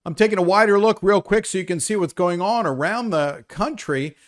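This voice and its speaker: A male weather presenter with a moderately high-pitched voice gives a live update. He talks off the cuff in a conversational manner, explaining as he goes. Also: a little fast. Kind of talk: live unscripted weather briefing.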